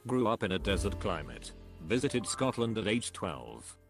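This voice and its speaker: A man reading online posts aloud as scripted narration, in an even, flat delivery that spells out internet slang. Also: on the low side, normal speed. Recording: voice-over with clear background music. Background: music bed.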